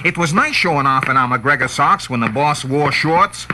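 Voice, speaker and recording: Actor as a chirpy, high-pitched talking right foot. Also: male voice, lively intonation, moderate pace, natural voice. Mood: chipper, proud. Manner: upbeat salesman-like banter. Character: cheerful, chatty male right foot proud of its socks. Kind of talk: 1960s radio commercial dialogue. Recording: noisy, crackly 1960s radio aircheck.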